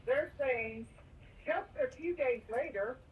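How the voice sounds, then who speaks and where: Fairly high-voiced woman on dull phone audio. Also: takes it slowly. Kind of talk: casual phone chat. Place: phone call played in courtroom. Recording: dull, noisy phone call, played back distantly.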